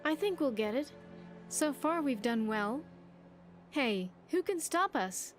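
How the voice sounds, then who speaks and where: Actress as young woman companion, high voice, sincere, grateful, chatty, video game dialogue, music behind. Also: expressive, slow. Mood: earnest, hopeful.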